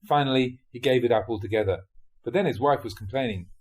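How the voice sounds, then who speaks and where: A man reading Buddhist teaching stories aloud in the animated manner of a storyteller. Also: medium pitch, quick pace. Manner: animated storyteller, acts out dialogue, often chuckles. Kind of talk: audiobook reading of dharma talk storytelling.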